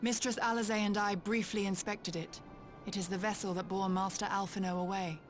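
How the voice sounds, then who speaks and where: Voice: medium pitch, flat delivery, fairly quick, soft background music, lightly acted. An actor playing a courteous, formal retainer in a fantasy video game, with a deferential manner. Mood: grave. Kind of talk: dramatic video game dialogue.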